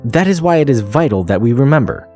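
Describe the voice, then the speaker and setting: A man playing a smug, preachy radio host in a scripted satirical show, pompous and sardonic with dramatic pauses. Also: medium pitch, animated, warm tone, faint music behind, lightly acted.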